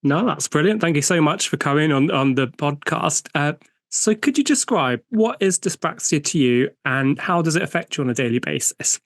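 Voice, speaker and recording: A British man hosting an interview podcast, friendly and a little halting when he speaks off the cuff. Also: fairly high pitch, expressive, dry recording, studio quality. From United Kingdom. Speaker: podcast host.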